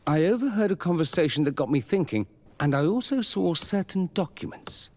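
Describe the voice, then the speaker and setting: Lively, wary camp doctor. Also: male voice, high-pitched, fairly quick, no room sound. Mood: wary, confiding. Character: cautious, respectful army camp doctor. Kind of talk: video game dialogue acting.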